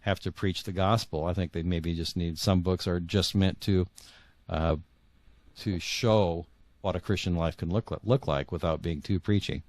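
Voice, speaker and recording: A middle-aged man answering as a guest author in a conversational interview, earnest and halting, often repeating words as he thinks. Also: deep voice, fairly even intonation, darkish tone.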